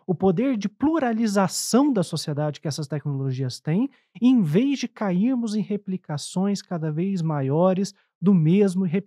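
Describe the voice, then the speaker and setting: A woman co-host asks her question in a hesitant way, searching for words. Her voice is lowish and mellow. The recording is dry. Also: no room sound. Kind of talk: conversational discussion.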